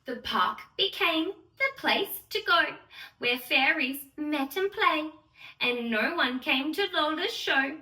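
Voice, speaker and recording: A woman playing a sweet, excitable young fairy who gushes in a sing-song way to little children as she hosts a bedtime story read-aloud. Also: high-pitched, takes it slowly, full character voice. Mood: storytelling wonder. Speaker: children's storyteller and channel host. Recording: roomy echo, distant microphone.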